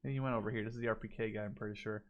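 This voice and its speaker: A man's voice: on the low side, fairly even intonation, rapid-fire, dull audio. Casual man commentating on gameplay. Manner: informal, thinking aloud, mildly profane. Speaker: video game spectator commentator.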